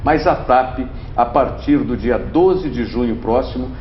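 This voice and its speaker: A man's voice: mid-range voice, a little slow, a little hiss or hum. A man hosting a TV interview show, speaking, chatty and full of tag questions. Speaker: TV host. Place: TV studio. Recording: roomy, dull TV audio.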